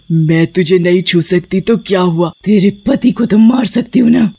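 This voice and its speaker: Woman acting a menacing cackling village witch, husky. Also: lowish voice, fairly even intonation, mellow. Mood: menacing, gloating. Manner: taunting, threatening, drawn-out words with cackles.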